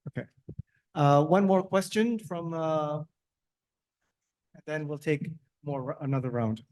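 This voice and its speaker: A man's voice: a little high, slow pace, darkish tone, low-quality recording. A man moderating a conference question session, speaking politely and briefly without a script. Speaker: panel moderator.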